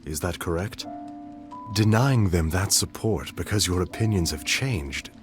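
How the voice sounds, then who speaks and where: A cool, probing young nobleman who questions in a deep, precise, formal voice. Game music plays clearly behind him. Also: expressive, unhurried. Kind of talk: game cutscene voice acting. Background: game soundtrack music.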